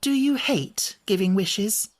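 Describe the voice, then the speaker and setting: A man voicing an earnest, gentle young girl in a storybook, coaxing and pleading in a breathy way. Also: sits high, expressive, takes it slowly, pitched above the performer's own voice, full character voice.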